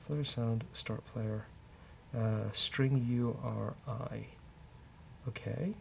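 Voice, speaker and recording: A man with a creaky, gravelly voice talks through his code as he goes. He is casual and a bit hesitant, often muttering to himself. There is faint noise behind him. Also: mid-range voice, fairly bright tone. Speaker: developer and show host. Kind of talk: casual live coding commentary, thinking aloud.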